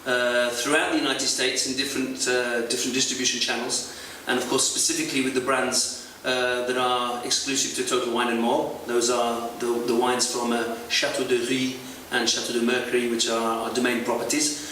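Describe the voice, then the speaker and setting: A man's voice: medium pitch, little pitch movement, moderate pace, pushed and bright. A man working as an export sales manager gives an educational talk to retail staff, explaining at length with frequent hesitations. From not French. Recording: very echoey, far from mic, faint hiss.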